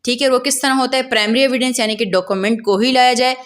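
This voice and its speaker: A woman's voice: fairly high pitch, fast talker. A woman with a Pakistani accent lecturing on law, reading passages aloud and explaining them in a didactic way with frequent rephrasing. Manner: didactic, repeats and rephrases points, checks in often.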